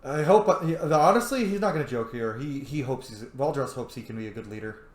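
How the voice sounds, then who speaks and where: A man's voice: medium pitch, animated, brisk, a little hiss or hum. A man giving casual, slangy, reactive commentary while roleplaying through a video game. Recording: echoey room.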